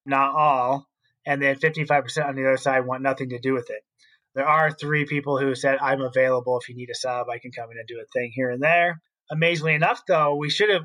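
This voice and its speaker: A man's voice: mid-range voice, a bit bright. A man co-hosting an education podcast as a school principal, explaining his opinions in casual talk.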